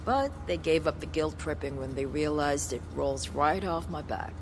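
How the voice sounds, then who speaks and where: A woman's voice: sits low, expressive, normal speed, background noise. An actress playing a blunt, spiritual, resolute woman survivor in a video game cutscene, with a matter-of-fact delivery. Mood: frank, defiant.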